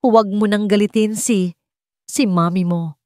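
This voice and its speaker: Meek female housemaid acted by a woman narrator, Filipino accent, trembling, pleading, higher-pitched, audio story. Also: steady tone, unhurried, mellow, no echo, clean studio sound, natural voice. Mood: pleading, fearful. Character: timid, tender-hearted housemaid, woman.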